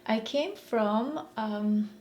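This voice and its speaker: A woman's voice: a little high, drawn-out words, darkish tone, lo-fi sound, slight background noise. A woman in her forties with a Polish accent, giving a reflective motivational talk and repeating phrases as she searches for words. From Poland. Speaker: mindfulness speaker.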